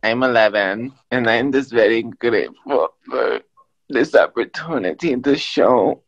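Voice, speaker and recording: A man voicing a forgetful eleven-year-old boy who talks in a slow, unsure, halting way over a video call. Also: medium pitch, creaky, dull audio, soft background music, pitched below the performer's own voice.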